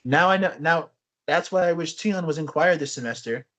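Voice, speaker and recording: A young man co-hosting a casual podcast, telling stories in a rambling, animated way with frequent restarts. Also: fairly high pitch, moderate pace. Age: young adult. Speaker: podcast co-host. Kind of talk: casual chat.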